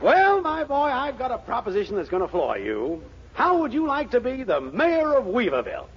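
An actor playing an excitable, scheming middle-aged storekeeper in a 1940s radio comedy, blustering and coaxing with a raspy voice. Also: male voice, very high voice, moderate pace, exaggerated voice. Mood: coaxing, blustery. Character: excitable, scheming older storekeeper and campaign manager. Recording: dull 1940s radio recording.

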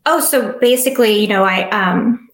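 Soft-voiced woman in an echoey room. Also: medium pitch, normal speed. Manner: reflective, earnest, speaks in fits and restarts. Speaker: guest. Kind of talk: personal story in an interview.

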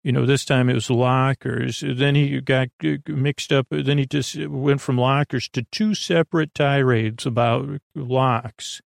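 A man hosting a bedtime podcast in a creaky, soothing voice, meandering through halting tangents and interrupting himself. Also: medium pitch, steady tone, no room sound, studio quality. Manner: lulling, soothing. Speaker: podcast host. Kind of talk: rambling bedtime sleep-podcast monologue.

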